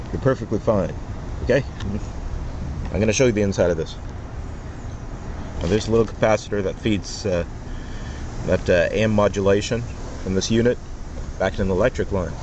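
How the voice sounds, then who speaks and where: A man giving an informal hands-on tutorial as a DIY presenter, explaining step by step in a halting way. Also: on the low side. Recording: dull lo-fi camera audio, loud noise.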